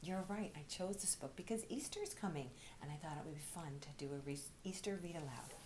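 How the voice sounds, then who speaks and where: A woman reading aloud to children like a teacher, expressive, often dropping into breathy, hushed storytelling. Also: sits low, fairly quick. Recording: faint background noise.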